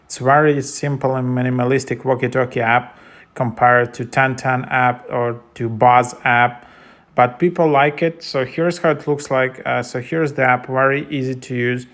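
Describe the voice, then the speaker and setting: A man's voice: medium pitch, fairly even intonation, normal speed, a bit bright. Male tutorial presenter, unscripted and informal, loosely phrased, with a level, unvarying intonation. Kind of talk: casual unscripted tutorial narration.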